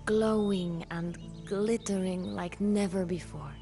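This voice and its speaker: An actress playing a wistful, awed young queen in a game cutscene, with slow, dreamy, hushed delivery over music. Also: mid-range voice, steady tone. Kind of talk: dramatic scripted game narration.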